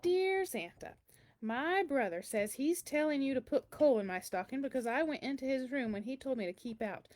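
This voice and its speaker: A woman's voice: high voice, lively intonation, normal speed, darkish tone. A woman voicing a curious, earnest seven-year-old girl reading out her letters in a children's storybook, eager and full of questions. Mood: worried. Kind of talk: children's storybook read-aloud.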